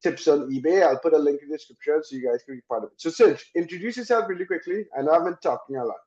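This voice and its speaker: A man with a slightly high voice hosts a casual interview. He is eager and chatty and restarts his sentences often. The sound is roomy and echoey, with a dull, lo-fi tone. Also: quick pace, darkish tone. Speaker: host.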